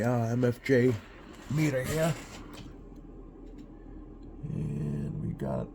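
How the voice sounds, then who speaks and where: A man's voice: medium pitch, warm tone, slight background noise. A man giving casual, off-the-cuff narration as a repair technician, thinking aloud in a hesitant way. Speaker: radio repair technician hosting a bench video.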